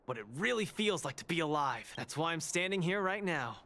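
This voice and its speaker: Animated, cocky young fighter. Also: male voice, a little high, a little fast. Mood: earnest, confident. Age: young adult. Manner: brash, earnest, emphatic. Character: cocky, spirited young male underworld boxer. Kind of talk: video game character acting.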